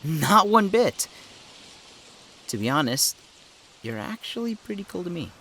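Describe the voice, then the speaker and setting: A man's voice: high-pitched, very animated, a little slow, sharp tone, slight background noise, exaggerated voice. A man playing a young former police officer in an audio drama, earnest, hesitant and often puzzled. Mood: earnest, unsure. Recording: background music under the voice.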